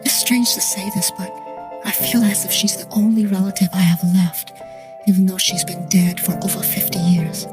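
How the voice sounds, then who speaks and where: A woman in her thirties playing an earnest, troubled client who recounts her haunting in a measured, scripted dramatic monologue. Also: medium pitch, little pitch movement, close to an everyday voice. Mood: grateful, sad. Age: 30s. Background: soft music.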